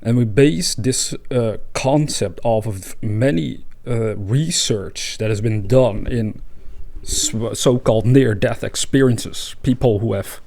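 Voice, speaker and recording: A man with a Dutch accent, a native Dutch speaker, hosting a spiritual podcast in a calm, reflective monologue with pauses and fillers. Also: medium pitch, vocal fry. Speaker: podcast host.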